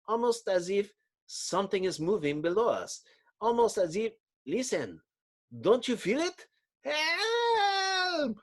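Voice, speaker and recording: Man acting alarmed French soldier, raised pitch, melodramatic exclamations, comic book adventure. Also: heavily acted. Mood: uneasy. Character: panicked French male soldiers in the desert.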